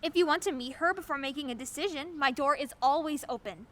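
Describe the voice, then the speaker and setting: An eager cat-loving neighbor. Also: female voice, high-pitched, quick pace, fairly bright tone. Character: chatty young woman neighbor, a cat owner. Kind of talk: radio play acting.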